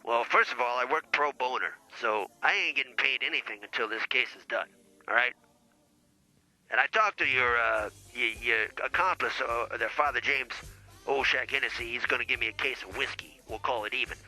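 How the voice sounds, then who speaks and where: A man's voice: medium pitch, a little fast, pushed and bright, no echo, soft background music, low-quality recording. A man playing a blustering small-time lawyer in improvised comedy podcast banter, folksy and rambling, stumbling over his words. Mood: folksy defensive. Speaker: lawyer character, show guest.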